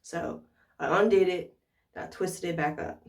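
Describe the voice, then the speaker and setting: A woman's voice: lowish voice, unhurried. An American woman chatting casually to viewers in an informal tutorial, thinking aloud as she demonstrates. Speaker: hair care vlogger. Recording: roomy echo, distant from mic.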